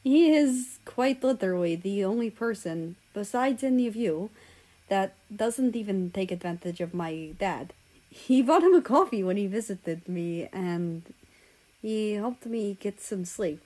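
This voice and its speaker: Woman voicing a teenage boy, earnest, hesitant, rambling, slow storybook delivery, medium pitch. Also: lively intonation, warm tone, low-quality recording. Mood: nervous, confessing.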